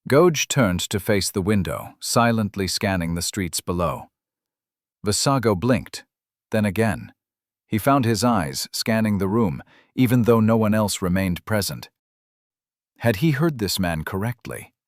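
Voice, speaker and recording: Audiobook narrator, man, low register, reading fantasy prose in a very dry studio-like sound. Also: lively intonation, a little slow, no room sound. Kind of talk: scripted fantasy story narration.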